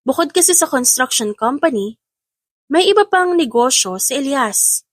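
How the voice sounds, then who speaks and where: A woman's voice: high-pitched, expressive, moderate pace, no echo. A woman reading scripted dramatic narration as an audiobook narrator.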